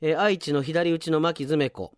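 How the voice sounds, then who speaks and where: A man's voice: a little high, steady tone, moderate pace, no echo, studio quality. A man hosting a radio show, talking casually and chattily with self-deprecating asides and reading listener mail. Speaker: radio announcer and drama show host. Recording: music bed under the voice.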